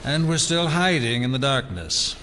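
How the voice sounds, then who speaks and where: An actor playing an impatient, bitter elder of a conspiracy in an audio drama, complaining in formal phrases. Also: male voice, medium pitch, big pitch swings, normal speed, sharp tone, slight background noise.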